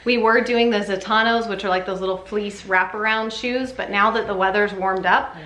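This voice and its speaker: Female parenting vlogger, chatty and informal, bright tone, roomy echoing sound. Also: medium pitch, steady tone, moderate pace. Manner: chatty, informal, often says "like". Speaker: parenting vlogger giving a baby update. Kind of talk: casual chat to camera. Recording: echoey room with faint background noise.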